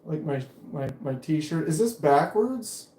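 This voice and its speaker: A man with a deep voice hosting a casual live stream, rambling loosely and reading viewer comments aloud. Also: slow, reverberant. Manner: relaxed, rambling, reads chat aloud. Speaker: knife channel live streamer.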